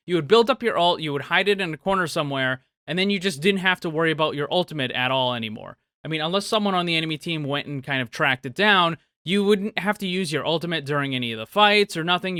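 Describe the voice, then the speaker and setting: Casting: male gaming show host, crisp forward bright tone, slightly high voice, conversational and opinionated, very dry close sound. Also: no echo. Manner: conversational, opinionated, thinks aloud. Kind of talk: casual video commentary answering viewer questions.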